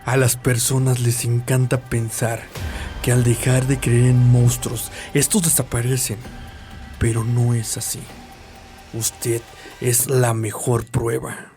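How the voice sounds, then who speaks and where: An actor playing a sly, gloating hospital nurse who blackmails with a smug, mocking manner in a dramatized audiobook. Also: male voice, mid-range voice, level tone, slow pace, dark tone. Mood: ominous. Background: background music.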